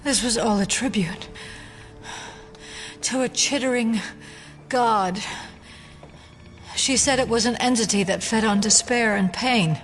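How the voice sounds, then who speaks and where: A woman's voice: fairly high pitch, slow pace, long pauses. An actress playing a shaken but defiant female FBI agent in an audio drama, serious and hesitant. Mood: shaken, subdued. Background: dramatic music.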